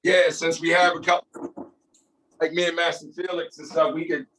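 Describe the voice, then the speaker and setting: Slightly high-voiced man, colloquial, on video call. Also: flat delivery, normal speed, a bit bright, slight background noise, rough recording. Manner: colloquial, checks listener follows. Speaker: table read participant. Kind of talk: casual meeting chat.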